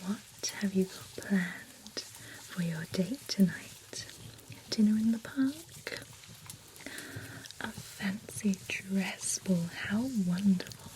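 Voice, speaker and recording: A woman's voice: medium pitch, rough recording. A woman whispering as a nosy undead-spa beautician. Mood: soothing. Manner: soft unvoiced whisper throughout; chatty and nosy. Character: gossipy, envious female beautician at an undead spa. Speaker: ASMR roleplay creator. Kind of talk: whispered ASMR roleplay. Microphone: close ASMR microphone.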